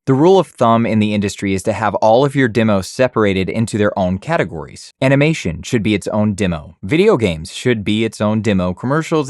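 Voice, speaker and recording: A man with a low-pitched voice delivers a scripted explainer with upbeat, instructive energy. He leans hard on key words. The sound is close and dry, from a studio condenser mic with no room around it. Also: no room sound. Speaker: voice actor and YouTube host. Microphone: studio condenser mic on an arm.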